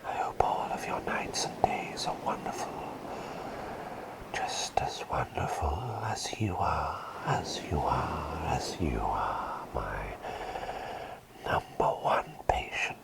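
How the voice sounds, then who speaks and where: Male actor as doting plague doctor for ASMR roleplay: extremely low, whispered, soothing, with lilting, varied intonation. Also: sing-song, takes it slowly, full character voice. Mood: tender, celebratory. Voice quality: breathy whisper with creaky low undertone. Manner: soothing, doting, repeats phrases tenderly. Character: doting, gentle male plague doctor tending his patient.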